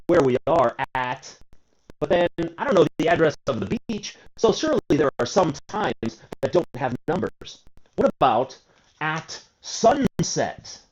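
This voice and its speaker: A man's voice: on the high side, darkish tone. A man in his forties teaching an English grammar lesson, asking and answering his own questions and drawing out key words. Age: 40s. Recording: dull lo-fi recording.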